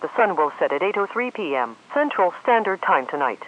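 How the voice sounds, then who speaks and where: A woman's voice: lowish voice, very animated, brisk. Female automated forecast reader, formal synthetic delivery with very varied intonation, muffled lo-fi radio sound. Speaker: automated weather radio announcer. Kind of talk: scripted forecast and climate summary reading. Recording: dull lo-fi weather radio audio.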